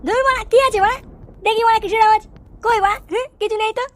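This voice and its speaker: A performer voicing a cheeky, foul-mouthed young cartoon villager in a squeaky pitched-up voice, excitable and complaining. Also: racing. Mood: irritated. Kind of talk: cartoon comedy dialogue.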